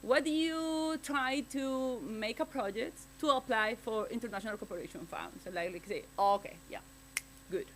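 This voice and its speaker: Woman researcher, English, halting speech, frequent restarts, panel talk, faint hum. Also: fairly high pitch. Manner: halting, searching for words, many restarts and fillers. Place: conference panel.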